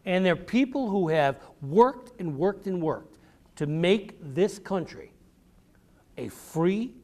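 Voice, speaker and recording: A man's voice: high-pitched, very animated, slow, darkish tone. A man giving an educational talk to children as a visiting author, addressing them directly and repeating questions and key phrases. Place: public library room with a group of children.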